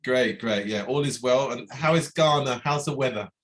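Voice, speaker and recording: A man's voice: medium pitch, moderate pace, fairly bright tone. A man hosting a casual interview over a video call, friendly, with many fillers and brief acknowledgements. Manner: friendly, lots of fillers and backchannel. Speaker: interview host.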